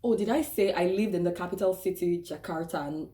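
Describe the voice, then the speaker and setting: A woman's voice: fairly low pitch, fairly quick, dark tone. A woman with a Nigerian accent talking candidly in a casual vlog, with many "like"s and "um"s, laughing easily. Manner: chatty and candid, with frequent "like" and "um" and restarts; laughs easily and acts out quoted voices. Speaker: vlogger. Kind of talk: casual personal vlog talk.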